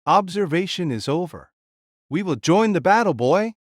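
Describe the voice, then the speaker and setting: A big, commanding warrior king, as a man acts him out, hearty and loud, his voice swinging widely up and down. The read is bright and dry, close to the mic. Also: sits high, animated, moderate pace, dry recording. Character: booming bold warrior king, male, middle-aged.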